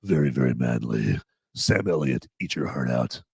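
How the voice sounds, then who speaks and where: A man voicing a boastful macho man with an exaggerated, very deep voice. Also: lively intonation, moderate pace, vocal fry, a little mellow, breathy edge, no echo, studio quality, heavily acted. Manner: exaggerated low rumble.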